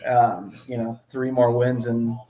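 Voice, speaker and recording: A man's voice: medium pitch, steady tone, slow, warm tone. A man co-hosting a casual fan stream, reading off game numbers in a matter-of-fact way. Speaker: co-host reading stats. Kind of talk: casual live-stream sports chat.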